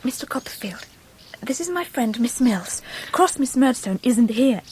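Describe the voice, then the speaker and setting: A coquettish young English lady in a Victorian radio play. Her voice is very high and girlish, gushing with childlike sweetness. Faint scene noise sits behind her. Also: animated, measured pace, darkish tone. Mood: confiding. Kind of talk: radio drama acting of a Victorian novel.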